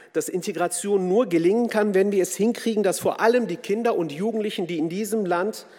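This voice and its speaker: A man's voice: high-pitched, darkish tone. Earnest man speaking in a reverberant hall. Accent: German. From Kurdish from Turkey, raised in Germany. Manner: earnest, hesitant with frequent ums. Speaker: speaker giving a talk. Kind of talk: formal speech with fillers.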